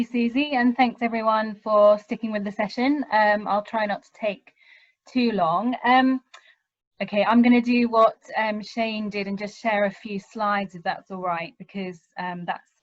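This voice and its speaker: A woman's voice: mid-range voice, fairly even intonation, normal speed, dry recording. British woman lecturing over a video call. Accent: British English. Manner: hesitant, many ums, self-deprecating. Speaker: university lecturer, panellist. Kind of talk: webinar presentation sharing slides. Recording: dry, slightly dull video-call audio.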